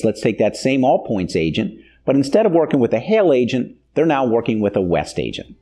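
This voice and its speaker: A man's voice: mid-range voice, warm tone. An American man in his fifties giving a plain-spoken, instructional explanation as the host of a training video. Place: indoor video studio.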